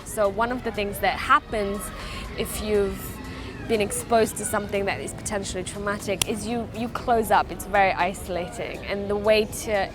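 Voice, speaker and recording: A woman from Afghanistan whose first language is Farsi, giving earnest, halting interview answers about her life. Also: mid-range voice, steady tone, over music. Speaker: interviewee, refugee charity founder.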